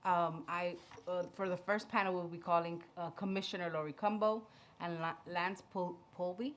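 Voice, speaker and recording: A woman's voice: on the low side, fairly even intonation, normal speed. A woman with a Dominican accent chairing a city council hearing, informal and stumbling a little over names. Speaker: committee chair.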